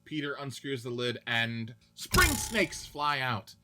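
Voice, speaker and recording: A man's voice: medium pitch, sing-song, takes it slowly, bright, forceful tone. A man narrating stage directions in a scripted radio play reading.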